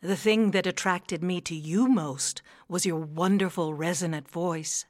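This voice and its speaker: A woman playing a gentle, concerned mother swan in a children's story, speaking in a refined, tactful way. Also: fairly low pitch, unhurried, no room sound, clean studio sound, natural voice. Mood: tender concern.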